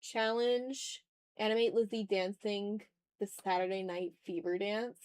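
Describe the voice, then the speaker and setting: Female art streamer from the American South, mid-pitched, loose chatty delivery with playful exasperation, very dry recording. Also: steady tone, a little slow, darkish tone, smooth, no room sound. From Southern United States. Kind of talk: casual livestream chat while drawing.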